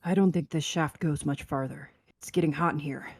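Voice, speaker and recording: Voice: medium pitch, fairly even intonation, brisk, lightly acted. A performer reading a determined male spaceship captain in a sci-fi table read, terse and purposeful. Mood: tense.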